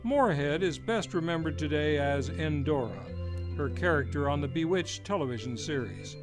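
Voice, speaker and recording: A man's voice: on the high side, animated, moderate pace. A man, hosting and introducing an old-time radio hour in a showman announcer manner. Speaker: radio show host. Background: music.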